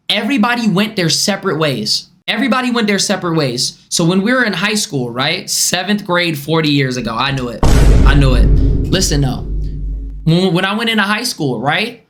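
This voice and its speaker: A young man with a high, boyish voice, streaming casually as he reacts to clips, animated and swearing. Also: moderate pace, background noise.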